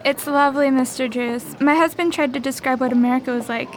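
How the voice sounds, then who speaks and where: A woman's voice: sits high, steady tone, fairly quick, a little hiss or hum, lightly acted, poor audio. A woman playing a gracious but anxious young wife from Brazil in a radio drama, polite and earnest.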